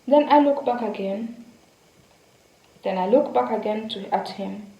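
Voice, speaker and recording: A woman's voice: on the high side, unhurried, echoey room, muffled recording. A young woman from Kenya casually telling a story to her viewers, repeating words and speaking to them directly. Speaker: vlogger.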